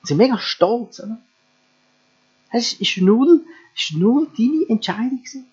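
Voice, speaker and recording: A man's voice: very high-pitched, expressive, normal speed, darkish tone, slightly rough. A man preaching a sermon earnestly, asking his listeners rhetorical questions. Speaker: pastor. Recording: dull lo-fi sound with mains hum.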